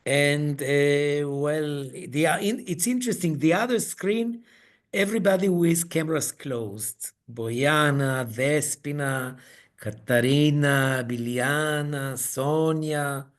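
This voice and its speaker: A man leading an online coaching webinar, speaking in slow, halting phrases. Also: fairly high pitch. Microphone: video call.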